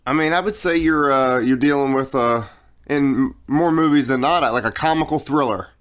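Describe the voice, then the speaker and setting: A man's voice: medium pitch, normal speed, fairly bright tone. A man co-hosting a podcast, teasing his partner in playful banter. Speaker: podcast co-host. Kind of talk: casual chat.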